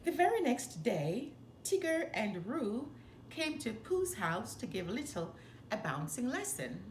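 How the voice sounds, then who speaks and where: A woman reading a children's story aloud with expressive, animated, deliberate phrasing. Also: on the high side. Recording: roomy echo, faint background noise.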